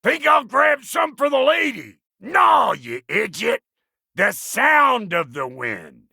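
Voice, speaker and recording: A man voicing a gruff, impatient, foul-mouthed dwarf blacksmith in a video game, with a gravelly, raspy voice and folksy, irreverent talk. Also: extremely high pitch, very animated, takes it slowly, bright, forceful tone, dry recording, studio quality, full character voice. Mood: excited, cocky. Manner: folksy, irreverent, colloquial grammar. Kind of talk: video game character dialogue.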